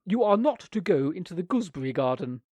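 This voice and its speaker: A man putting on a strict, self-important middle-aged woman who speaks in a lofty, prim manner. The voice is pitched well up and swings widely, in a dry, close recording. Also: big pitch swings, fast talker, darkish tone, dry recording.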